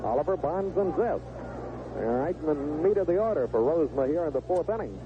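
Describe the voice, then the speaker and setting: A man's voice: on the high side, expressive, brisk. A man calling live baseball play-by-play on the radio as the game's announcer. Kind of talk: live sports commentary. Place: stadium broadcast booth. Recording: narrow, noisy 1970s AM radio broadcast.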